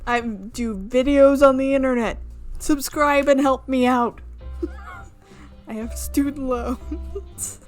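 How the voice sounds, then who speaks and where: A woman's voice: fairly high pitch, darkish tone. A woman reading a visual novel aloud as a let's player, with her own asides. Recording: music behind the voice. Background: game music.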